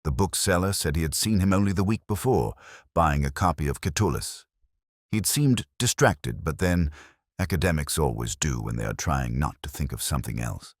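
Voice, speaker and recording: A man voicing a melancholy older retired police inspector, narrating a literary detective drama in a measured, wistful, erudite way with wry touches. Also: very deep voice, lively intonation, dry recording, studio quality, heavily acted. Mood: wistful, brooding. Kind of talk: literary first-person detective drama monologue.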